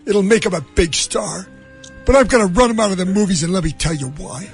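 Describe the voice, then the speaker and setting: A man's voice: sits high, a little fast, faint music behind, voice pushed up. A man doing a comic impression of an indignant, middle-aged movie mogul, ranting emphatically and drawing out his grievances. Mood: defiant. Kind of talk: comic impression of a film scene.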